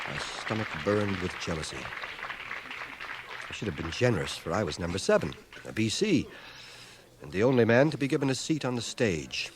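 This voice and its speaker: An actor playing a wry returned soldier, narrating his memories in a measured, literary radio-drama style. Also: fairly low pitch, noisy background. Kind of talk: scripted first-person radio drama narration. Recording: 1980 radio drama cassette, music and crowd behind. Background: music and crowd.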